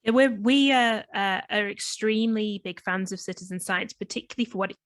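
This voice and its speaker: A woman's voice: mid-range voice, a little fast, fairly bright tone, no echo. Northwest English woman, friendly guest presenter over a video call, dry close sound. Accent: Northwest English, between Manchester and Liverpool, slight Australian twang. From England. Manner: friendly, many ums and restarts. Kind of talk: online presentation. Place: home in lockdown, video call.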